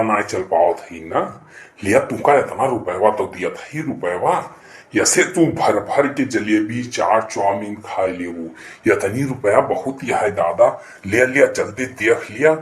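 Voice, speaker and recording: A man acts out a middle-aged Awadhi village husband from a cartoon comedy, henpecked and flirtatious. His voice is sharp and bright, and he coaxes slowly and repetitively. The sound has a roomy echo. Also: fairly low pitch, slight background noise. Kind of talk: comic cartoon dialogue in rustic dialect.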